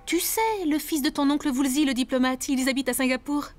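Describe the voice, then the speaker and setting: A woman plays a caring cartoon mother with a high voice. She speaks gently and affectionately in animated-series dialogue, over faint music. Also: quick pace, natural voice.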